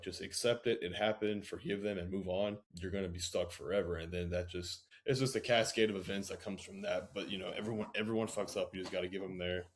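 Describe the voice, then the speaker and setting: A man hosting a podcast, chatting in a casual, reflective way and giving informal advice with some swearing. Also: deep voice. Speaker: podcast host.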